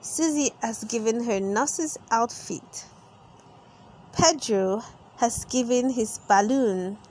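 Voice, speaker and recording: High-voiced woman reading slowly to children. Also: darkish tone, slight background noise, poor audio. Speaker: storybook reader and channel host. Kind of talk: expressive read-aloud narration for young children.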